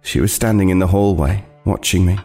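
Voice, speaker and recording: An actor playing an uneasy homeless man who narrates his horror tale in a halting, brooding way. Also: sits low, steady tone, darkish tone, husky. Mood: haunted, halting. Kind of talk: dramatic first-person horror narration. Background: music.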